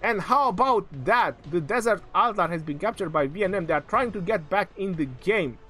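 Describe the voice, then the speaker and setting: A man calling a strategy game match live, in excitable, joking play-by-play, his voice going hoarse. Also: sits high, fairly quick, faint music behind.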